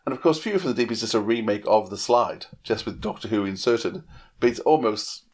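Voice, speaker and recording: A man's voice: fairly low pitch, lively intonation, brisk, darkish tone, husky. A man co-hosting a podcast, giving his views in casual discussion.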